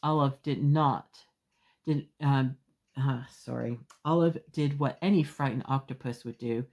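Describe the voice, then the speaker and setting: A woman's voice: extremely low pitch, measured pace, darkish tone. A woman storyteller reading a children's picture book aloud expressively, with emphatic phrasing and small asides to young listeners.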